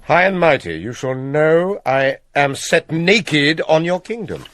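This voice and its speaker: Smooth plotting king, British accent, classical drama, measured pauses, very animated intonation. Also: a little high, a little hiss or hum. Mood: puzzled. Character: scheming king, smooth, persuasive, plotting murder. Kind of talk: classical Shakespearean stage-style acting.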